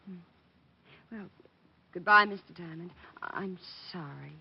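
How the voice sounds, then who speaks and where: An actress playing an anxious, pleading young client in an old-time radio detective drama, hesitant and earnest. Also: female voice, on the low side, a little slow, vocal fry, slightly rough, heavily acted. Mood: disappointed. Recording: dull, lo-fi old radio recording.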